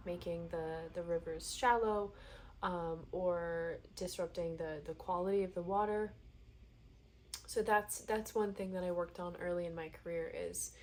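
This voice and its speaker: A woman in her thirties with a cold, sounding nasal and congested, chatting casually and thinking aloud in a meandering monologue. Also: lowish voice. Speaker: vlogger.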